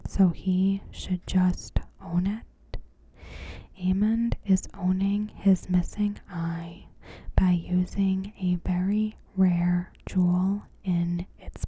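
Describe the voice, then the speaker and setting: A woman whispering softly in an ASMR style, reading scripted commentary in a hushed, unhurried, breathy voice. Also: fairly low pitch. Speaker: ASMR host.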